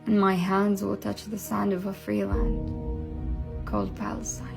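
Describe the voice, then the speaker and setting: Woman, spoken-word poem, slow measured recital, flat gravelly voice, music bed underneath. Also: mid-range voice.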